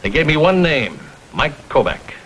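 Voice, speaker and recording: A man's voice: a little high, very animated, measured pace. An actor playing a blunt, boastful middle-aged millionaire businessman and protective father in a vintage TV crime drama. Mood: insistent. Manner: blunt, forceful, boastful, clipped sentences. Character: gruff, blustering self-made millionaire businessman, protective father. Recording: noisy vintage TV soundtrack.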